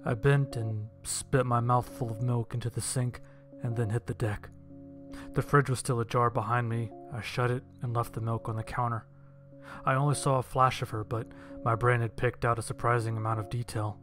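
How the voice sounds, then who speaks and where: Male horror audio narrator, mid-range voice, dramatic storytelling over a clear music bed. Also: mid-range voice.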